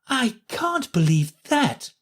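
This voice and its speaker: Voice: medium pitch, big pitch swings, takes it slowly, husky, pitched above the performer's own voice, full character voice. A reader voicing a polite, earnest young girl of seven for a storybook. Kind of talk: storybook reading.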